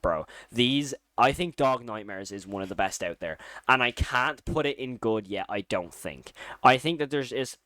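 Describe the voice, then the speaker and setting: Clear-voiced man, casual opinionated commentary. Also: mid-range voice, a bit bright, no room sound. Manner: enthusiastic, informal slangy talk. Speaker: YouTube host ranking horror series.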